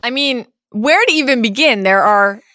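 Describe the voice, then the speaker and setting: A woman's voice: fairly high pitch, expressive, moderate pace, sharp tone, soft background music. A woman co-hosting a podcast, chatting casually about pop culture in an enthusiastic, emphatic way. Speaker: podcast co-host.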